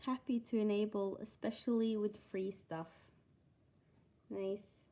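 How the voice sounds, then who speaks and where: Norwegian lady hosting a stitching stream, talks real slow and soft, accent, just chatting w chat. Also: medium pitch, steady tone. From Norway. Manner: slow and soft-spoken, reading chat aloud. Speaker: stitching livestream host. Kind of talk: casual livestream chat.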